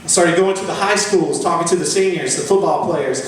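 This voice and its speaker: A man's voice: a little high, fairly quick. A man, telling profane, boastful stand-up stories in a mock-epic way. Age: 20s. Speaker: stand-up comedian. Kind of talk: stand-up storytelling. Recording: echoey room from far off, faint noise.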